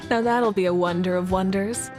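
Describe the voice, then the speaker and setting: A woman voicing a confident, easygoing fire goddess with a relaxed, amused manner. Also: mid-range voice, a little slow, over music. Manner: relaxed, amused, self-assured. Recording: game score playing behind.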